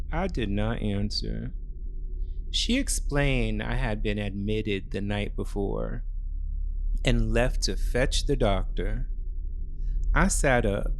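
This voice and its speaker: Slow-paced man narrating a thriller. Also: medium pitch, slight background noise. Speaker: story narrator. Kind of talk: dramatic first-person thriller narration.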